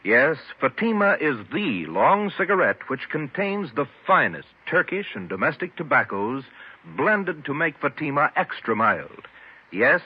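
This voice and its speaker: A man delivering an emphatic sales pitch as an announcer in a 1950s radio commercial. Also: sing-song, unhurried, husky. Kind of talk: 1950s radio cigarette advertising. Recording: muffled old radio recording.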